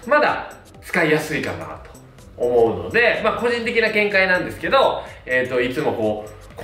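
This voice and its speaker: Animated man reviewing hair products. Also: medium pitch, far from the mic. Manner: relaxed, many fillers, gestures with products. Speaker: hairstylist. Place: small plain indoor studio. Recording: roomy sound, mic set back, faint music.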